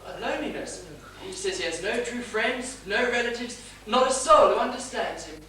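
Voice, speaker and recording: A woman's voice: on the high side, animated, moderate pace, sharp tone. A woman playing a mild, guileless, chatty husband in a stage period comedy, earnestly recounting and theatrically quoting. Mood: sympathetic reporting. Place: theatre stage, recorded from the audience. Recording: echoey theatre, distant mic, faint noise.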